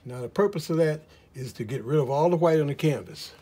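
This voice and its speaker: A middle-aged man with a husky, slightly hoarse voice, teaching oil painting in a relaxed, rambling way with many fillers in his studio. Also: on the high side, a little fast. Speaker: art teacher. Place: studio, in front of an easel.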